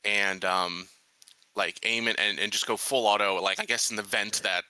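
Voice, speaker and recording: A man with a low but very bright, sharp-edged voice talks as a player in a tabletop game. He thinks aloud casually, describing his character's moves. The sound is close and dry. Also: steady tone, normal speed, clean tone, dry recording, low-quality recording.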